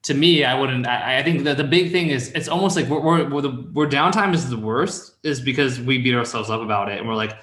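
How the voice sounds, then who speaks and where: A man's voice: medium pitch, fairly quick, hardly any pauses, fairly bright tone, reverberant. A man in his thirties giving casual advice in a chat, rambling and thinking aloud as he goes. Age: 30s. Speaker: call-in show host and copywriting mentor.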